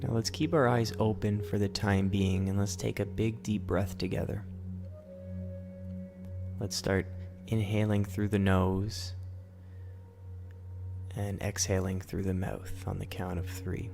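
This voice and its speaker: A man leading a guided sleep meditation as host, in a soothing, gentle, instructional manner. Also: on the low side, level tone, a little slow, vocal fry, long pauses, music in the background.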